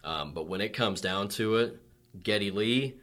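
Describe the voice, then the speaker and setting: Man, deep bright voice, relaxed guest chatting with emphasis. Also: measured pace. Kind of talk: casual chat.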